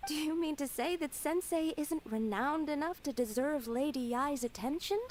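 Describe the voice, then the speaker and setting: A woman's voice: high voice, normal speed, crowd noise, lightly acted. An actress playing an anxious young woman in a game, who talks in a distressed, stammering way. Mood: indignant. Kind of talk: game dialogue.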